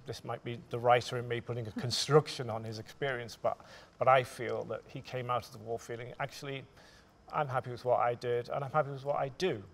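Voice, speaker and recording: A man in his forties, a writer speaking thoughtfully and in halting, measured phrases on a discussion panel. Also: mid-range voice, fairly even intonation, creaky. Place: theatre stage, indoors.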